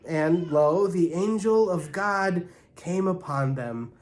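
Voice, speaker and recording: A man puts on a storybook mother, earnest and patient. She speaks slowly and with measured care, as if explaining or reading scripture to children. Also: sits high, voice pushed up. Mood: solemn reading.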